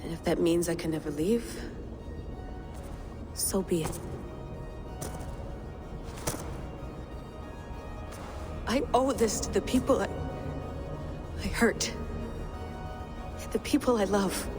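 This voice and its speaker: A woman's voice: medium pitch, lively intonation, unhurried, pauses often, music in the background, lightly acted. An actress playing a determined, guilt-ridden young woman in a video game cutscene. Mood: somber resolve.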